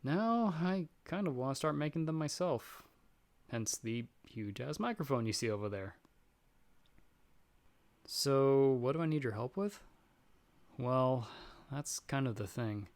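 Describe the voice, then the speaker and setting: A man's voice: medium pitch, sing-song, unhurried, long pauses. A man playing a nervous, self-doubting young friend in an audio roleplay, chatting casually and rambling with self-deprecating humor. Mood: sheepish, explaining.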